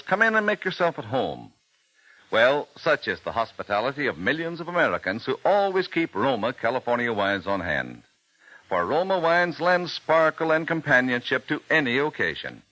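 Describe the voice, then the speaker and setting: A man's voice: medium pitch, pushed and bright, rough recording. A man announcing a sponsor's message in polished, emphatic 1940s radio advertising style.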